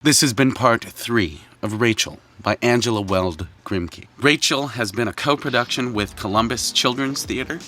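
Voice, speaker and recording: A man, the director and producer, reading scripted closing credits in a measured, formal way with playful touches. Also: mid-range voice, pushed and bright.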